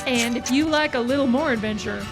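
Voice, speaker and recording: High-pitched woman reading a comic radio ad. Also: brisk, over music. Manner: cheery sales pitch. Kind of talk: comic radio advertisement read.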